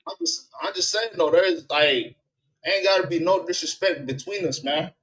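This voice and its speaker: Calm slangy man, Somali native speaker. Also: fairly high pitch, normal speed, poor audio. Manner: laid-back slangy talk, calm and conciliatory. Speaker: guest on a live stream. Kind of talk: casual argument on a live stream.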